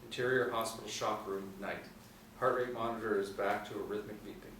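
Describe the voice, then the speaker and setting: A middle-aged man narrates a screenplay in a fairly low voice. He is recorded from some distance in a roomy space, with faint background noise. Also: steady tone, brisk, fairly bright tone. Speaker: narrator reading stage directions. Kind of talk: scripted narration. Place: small indoor room, seated.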